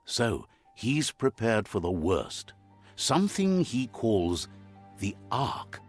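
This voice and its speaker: A man playing the wry, sage narrator of an animal fable game, telling it in a measured, philosophical storybook style. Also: on the low side, big pitch swings, slightly rough, faint music behind, full character voice. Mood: reflective, sage.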